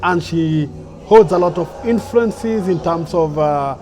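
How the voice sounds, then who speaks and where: High-voiced man hosting an interview. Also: slow pace, a little mellow. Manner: formal, enthusiastic introductions with fillers. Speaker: host, presenter.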